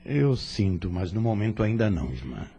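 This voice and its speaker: A Brazilian man playing a calm, kindly spirit instructor with patient authority and a husky voice in an audio drama. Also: lowish voice, normal speed, highs cut off, soft background music, exaggerated voice. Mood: patient, firm.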